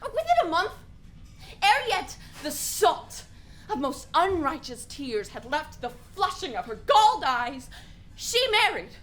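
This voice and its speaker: A woman's voice: high-pitched, big pitch swings, fairly bright tone, a little hiss or hum, full character voice. A woman playing a grief-stricken, bitter young prince in anguished Shakespearean verse for a radio play. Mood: anguished, disgusted. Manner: anguished, drawn-out, exclamatory verse delivery.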